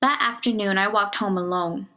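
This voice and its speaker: A woman reads a children's picture book aloud in a measured, expressive storytelling manner, dropping to a hush on some phrases. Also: medium pitch, heavy background noise. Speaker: storybook reader.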